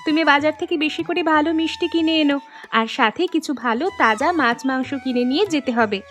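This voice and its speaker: High-pitched, caring young wife for a family cartoon, acted by a woman; deliberate storybook delivery, music underneath. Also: fairly bright tone. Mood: earnest, warm. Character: dutiful young married daughters, cheerful and caring. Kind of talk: Bengali animated family story dialogue.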